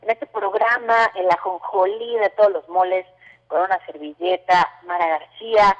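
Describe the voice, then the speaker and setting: A woman's voice: medium pitch, fairly even intonation, moderate pace, dull audio. A woman hosting a community radio interview, chatty and full of fillers. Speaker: radio host. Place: radio studio.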